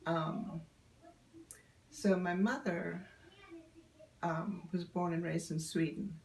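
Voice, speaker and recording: An older woman, telling stories in a relaxed interview, often laughing and pausing with ums. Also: on the low side, gravelly. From Yorkshire, England. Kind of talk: casual storytelling interview.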